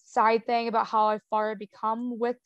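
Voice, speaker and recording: A woman's voice: a little high, little pitch movement, normal speed, clean tone, dry recording, muffled recording. A young woman with a stutter, talking casually as an interview guest, with sound repetitions, blocks and lots of "um" and "like".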